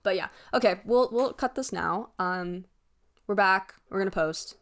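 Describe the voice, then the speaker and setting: A woman's voice: medium pitch, a little slow, a bit bright. A young woman co-hosting a podcast in casual chat, informal and hedging as she thinks aloud. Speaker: podcast co-host.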